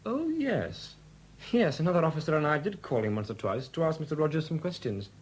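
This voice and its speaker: An actor playing a courteous, slightly awkward police sergeant, speaking hesitantly in a 1970s radio drama. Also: male voice, a little high, sing-song, brisk, husky. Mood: polite, probing. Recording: faint hum on old radio recording.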